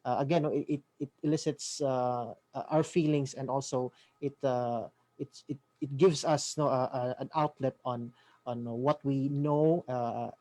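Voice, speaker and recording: A man with a Filipino accent moderating a discussion off the cuff, halting and often repeating his words. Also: medium pitch, fairly even intonation, a little mellow. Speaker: host moderating a student question session. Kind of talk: unscripted moderating.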